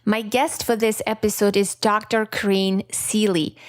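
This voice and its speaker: A woman's voice: medium pitch, flat delivery, unhurried, no room sound, clean studio sound. A middle-aged woman with an accent, whose first language is Armenian, hosting a podcast in a candid, self-deprecating solo reflection. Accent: non-native English. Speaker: podcast host.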